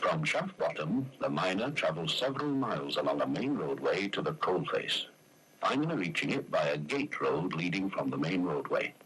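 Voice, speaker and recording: A man reads scripted narration for a 1970s instructional film in a measured, explanatory manner. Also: low voice, big pitch swings, a bit bright. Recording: noisy old film soundtrack.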